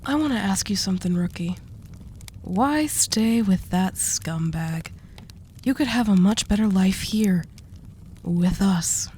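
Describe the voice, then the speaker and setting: A woman's voice: mid-range voice, slow, dark tone, a little hiss or hum, lightly acted. A woman playing a dominant, seductive mafia boss in a roleplay audio drama, teasing and possessive with a coaxing menace.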